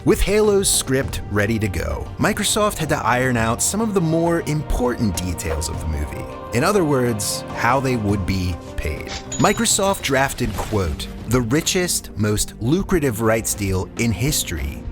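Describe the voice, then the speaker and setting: A man's voice: medium pitch, sing-song, unhurried, hardly any pauses. A man narrating a scripted documentary as host, with a dramatic storyteller delivery. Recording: music bed under voice. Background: background music.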